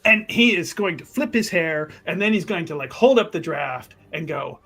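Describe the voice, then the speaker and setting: A man's voice: a little high, lively intonation, moderate pace, bright, forceful tone, soft background music. A man playing a tabletop roleplaying game, casually describing what his character does.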